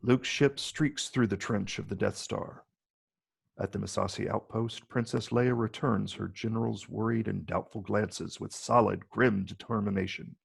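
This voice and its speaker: Gravelly low-voiced man narrating a screenplay. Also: steady tone, moderate pace. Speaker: narrator reading stage directions.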